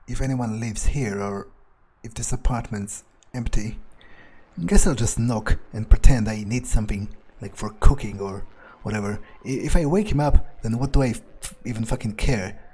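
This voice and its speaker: A man's voice: mid-range voice, animated, normal speed, warm tone, husky. A man playing a cynical, pragmatic vampire in a video game, thinking aloud in a musing, hesitant, casually profane way. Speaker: player doing an in-character playthrough. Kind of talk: improvised in-character thinking aloud over gameplay.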